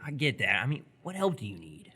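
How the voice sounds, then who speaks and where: A man playing a nervous, incredulous 17-year-old boy in live radio theatre, hesitant and apologetic with sardonic outbursts, in a husky voice. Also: medium pitch, big pitch swings, fairly quick, sharp tone, full character voice.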